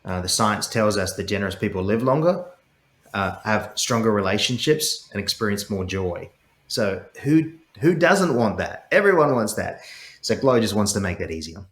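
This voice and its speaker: An earnest middle-aged Australian man with a lowish voice talks with conviction as an interview guest. He restarts his phrases and repeats words as he builds up each thought. His voice carries some echo from the room. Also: expressive, normal speed, a bit bright. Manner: passionate, repeats words when starting sentences. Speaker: guest, startup CEO.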